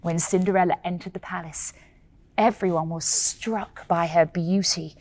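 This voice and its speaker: A woman telling a children's bedtime fairy tale in an expressive, playful way, laughing often. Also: fairly low pitch, moderate pace. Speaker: storyteller. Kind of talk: children's bedtime story reading.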